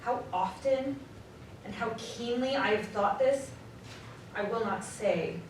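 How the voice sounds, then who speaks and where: Woman acting a sorrowful young fiancée, echoey stage. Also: fairly high pitch. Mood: grieving, resolute. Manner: earnest, measured, formal phrasing. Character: sorrowful young fiancée releasing her money-obsessed lover. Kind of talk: live radio drama acting, period dialogue. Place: live community theatre stage. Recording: very echoey live hall, distant, faint noise.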